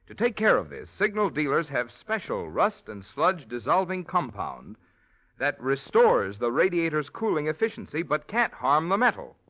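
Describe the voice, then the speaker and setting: Male announcer, polished sales read, lively inflection, muffled old radio. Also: on the high side, moderate pace. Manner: polished, persuasive commercial delivery. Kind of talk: sponsor announcement.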